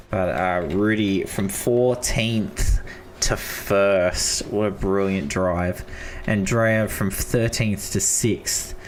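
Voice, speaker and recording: Young Aussie caster, deep and hoarse, upbeat slangy race calls. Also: male voice. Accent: Australian. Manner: enthusiastic, repeats praise, casual slang. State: hoarse, losing his voice. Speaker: esports race commentator. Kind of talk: live race commentary.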